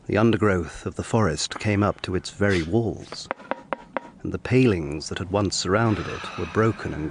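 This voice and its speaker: An actor playing an earnest, curious young lawyer in a period audio drama, with a measured, literary, musing delivery. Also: male voice, deep voice, noisy background. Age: young adult. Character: earnest, curious young male lawyer recounting his tale.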